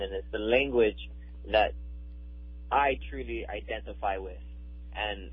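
A man's voice: fairly low pitch, husky, no room sound. Earnest man from Hawaii on a tinny line. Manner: earnest, emphatic, repeats words while building points. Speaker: activist guest. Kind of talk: radio interview.

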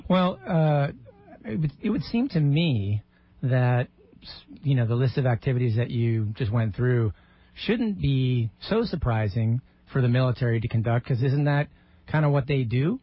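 A man's voice: medium pitch, lively intonation, moderate pace. Wry male radio show host. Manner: wry, joking asides. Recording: dull radio audio.

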